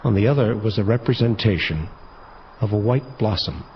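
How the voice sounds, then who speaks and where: A man's voice: lowish voice, lively intonation, moderate pace, highs cut off, slight background noise. An actor playing a world-weary male angel detective who narrates his case in hardboiled audio drama style. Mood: reflective.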